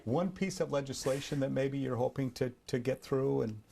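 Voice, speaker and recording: A man's voice: medium pitch, moderate pace, vocal fry, mellow, slightly rough. A man interviewing a guest for TV news, asking direct questions in a conversational way.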